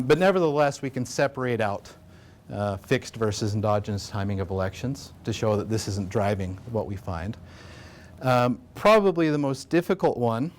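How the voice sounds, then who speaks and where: An American man delivering an academic talk at a conference. His delivery is relaxed and unpolished, full of fillers and self-corrections. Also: mid-range voice. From United States. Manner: relaxed, many fillers and restarts. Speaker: conference presenter. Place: conference room.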